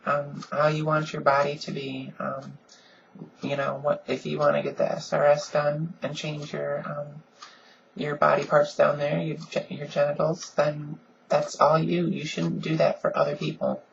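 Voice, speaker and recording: A woman with a deep voice she softens a little, talking earnestly and casually in a home vlog with lots of ums. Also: completely flat delivery, unhurried, gravelly, dull audio, a little hiss or hum. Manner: frequent "um"s, earnest and conversational. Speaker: vlogger. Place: at home, in pajamas.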